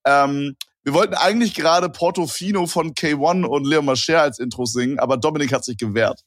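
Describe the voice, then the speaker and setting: A man's voice: a little high, brisk, no room sound, clean studio sound. A young man co-hosting a podcast, joking around in slangy casual talk. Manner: joking, slangy, laughs often. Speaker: podcast co-host. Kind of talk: casual chat.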